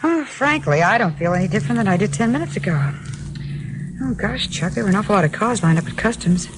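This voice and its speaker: An actress playing a sassy, teasing young American secretary in a 1950s radio crime drama. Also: female voice, medium pitch, lively intonation, fast talker, background noise, lightly acted. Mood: chatty. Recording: old 1950s radio recording, music underneath.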